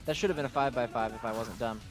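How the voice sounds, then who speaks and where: A man with a mid-pitched voice talks off the cuff over gameplay, with clear music playing behind him. He rambles like a devoted fan and reviewer, gushing freely and poking fun at himself in a casual way. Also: fast talker. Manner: self-deprecating asides. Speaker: video game reviewer and player. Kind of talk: casual unscripted gameplay commentary.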